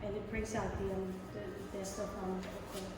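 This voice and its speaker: A woman's voice: mid-range voice, flat delivery, fairly quick. A young Filipino woman chef demonstrating a recipe, talking with many ums and restarts. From Philippines. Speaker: guest chef. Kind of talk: cooking demonstration. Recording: echoey, far from the mic, music behind.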